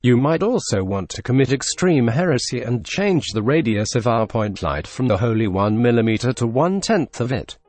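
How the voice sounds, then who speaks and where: Deadpan Russian-accented man, dry humor. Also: mid-range voice, moderate pace, few pauses, no room sound. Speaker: tutorial narrator. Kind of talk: scripted screen-recorded tutorial voice-over.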